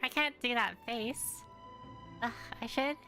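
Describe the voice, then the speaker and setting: A woman's voice: on the high side, unhurried, bright, forceful tone, faint music behind. A young woman giving casual, exclamatory, slangy live reactions as she streams a game.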